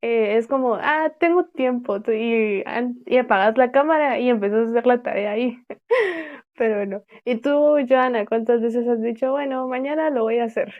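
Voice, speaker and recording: A woman's voice: fairly high pitch, moderate pace. Young Guatemalan woman host, tinny phone-like sound. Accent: Guatemalan Spanish. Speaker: podcast host.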